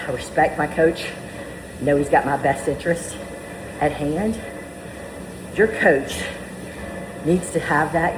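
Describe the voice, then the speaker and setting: A woman in her fifties with a raspy voice, a fitness coach chatting casually to camera in a home vlog, self-deprecating and loose. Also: deep voice, noisy background. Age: 50s. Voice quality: raspy, gravelly. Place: home garage on a treadmill.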